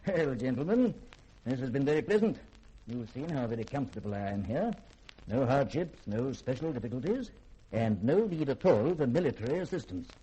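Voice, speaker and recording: An actor playing a learned, secretive middle-aged scientist in a 1950s radio drama, speaking in formal, polished, theatrical sentences with a husky edge. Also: male voice, mid-range voice, expressive, a little fast, dark tone, poor audio. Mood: urbane.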